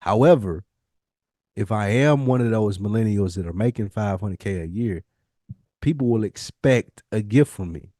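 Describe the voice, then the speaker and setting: A man's voice: on the low side, lively intonation, moderate pace, mellow, no echo, studio quality. A male podcast co-host chatting and debating casually in a slangy, profane, insistent way.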